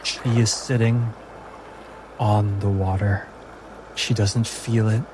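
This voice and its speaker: A man narrating a tabletop game as game master in a measured, deliberate, dramatic storytelling manner. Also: lowish voice, little pitch movement, noisy background.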